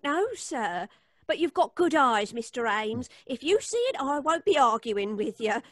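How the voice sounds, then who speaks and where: Radio play housekeeper: deferential and folksy, superstitious, high and swooping delivery, dry studio sound. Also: female voice, big pitch swings, a little slow, dry recording, heavily acted. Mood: wary, humouring. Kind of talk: old-time radio suspense acting.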